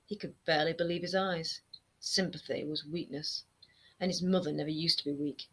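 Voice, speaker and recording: Bright-voiced woman reading her own novel. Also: deep voice, moderate pace, husky. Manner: expressive, steady storytelling. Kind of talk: fiction reading aloud. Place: home room lined with bookshelves. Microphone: webcam framing, seated close to camera.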